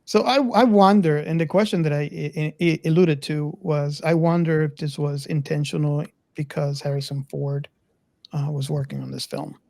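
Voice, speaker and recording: A man in a casual film discussion, speaking in a measured, hesitant way and putting thoughts to the group as questions. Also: on the high side, steady tone, vocal fry, a little mellow, no echo. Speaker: podcast co-host.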